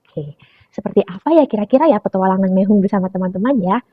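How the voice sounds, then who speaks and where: Young woman reading a kids story, voice jumping all over, kinda breathy, muffled lo-fi sound. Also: mid-range voice, fast talker. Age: young adult. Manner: animated, calls listeners "friends". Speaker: storyteller for preschool children. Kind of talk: children's storytelling. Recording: dull lo-fi recording.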